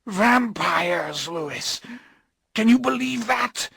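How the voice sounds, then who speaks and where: A man voicing a desperate, drunken gentleman, pleading and bursting out in frantic, high-pitched cries. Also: lively intonation, slow, gravelly, slightly rough, no echo, studio quality, full character voice. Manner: pleading, rising to frantic outbursts.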